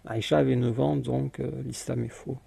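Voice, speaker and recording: A man's voice: medium pitch, fairly even intonation, normal speed, creaky, mellow, husky, dry recording. A man commenting on a video he plays, dismissive and mocking, often cutting in over the clip. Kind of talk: casual reaction commentary.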